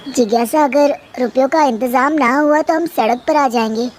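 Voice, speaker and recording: A woman's voice: high voice, normal speed, lightly acted. A woman voicing a stern, exasperated young husband in a moral story, with a reproachful way of questioning. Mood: earnest. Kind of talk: Hindi moral-story drama.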